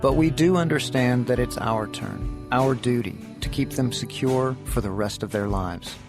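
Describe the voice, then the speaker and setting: A man delivering a charity ad in a solemn, measured read. His voice sits at an ordinary middle pitch. Clear guitar music plays underneath him. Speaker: ad announcer. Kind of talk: earnest charity ad voice-over. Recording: voice-over with music bed.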